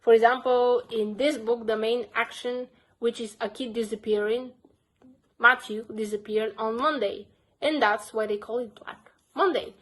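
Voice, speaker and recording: A woman's voice: fairly high pitch, fairly even intonation, moderate pace, rough recording. A young woman with a Bulgarian accent, earnestly reviewing her crime book collection in a casual vlog. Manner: earnest, simple phrasing with frequent "basically". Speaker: book vlogger.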